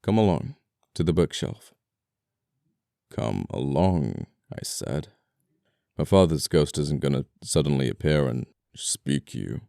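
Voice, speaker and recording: A man acting as an amoral but apologetic, flirty murderer in an ASMR audio roleplay, speaking with unhurried, polite menace. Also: a bass voice, expressive, creaky, dark tone, no room sound, clean studio sound, full character voice. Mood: wry menace.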